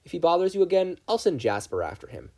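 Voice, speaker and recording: A man voicing a firm, protective young male character with a formal, deadpan manner in a story reading. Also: high-pitched, fast talker, pitched above the performer's own voice. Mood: matter-of-fact.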